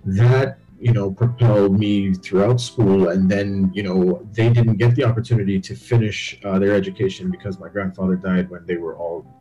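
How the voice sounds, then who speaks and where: A man from Barbados speaks as a podcast guest, reflecting and telling stories at an easy pace. His voice is very deep and mellow. Soft music plays faintly behind him. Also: steady tone, moderate pace, few pauses, low-quality recording. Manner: reflective, storytelling. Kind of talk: interview conversation.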